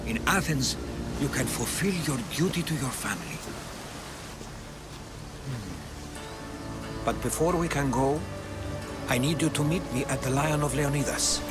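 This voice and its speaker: An actor playing a wise Greek historian and mentor in a video game, solemn, eloquent and deliberate. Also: male voice, mid-range voice, animated, loud music behind the voice, natural voice. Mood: persuasive. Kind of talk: video game cutscene acting.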